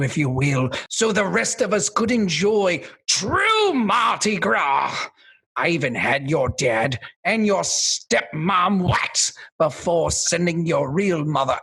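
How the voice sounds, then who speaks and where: High raspy evil parade king, slow and gloating, swoops all over, radio comedy villain. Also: sharp tone, heavily acted. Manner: taunting, drawn-out, bombastic. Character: gloating, theatrical male villain, a Mardi Gras king.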